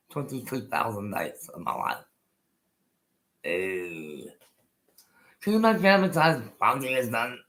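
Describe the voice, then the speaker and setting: An older man in his sixties hosts his own live stream, chatting loosely and going back over his words. His toothless speech can sound unclear, and his voice has a bright, forward edge. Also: medium pitch. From Colorado, USA. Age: 60s. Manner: rambling, repeats himself, restarts often. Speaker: host. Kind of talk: casual live-stream chat.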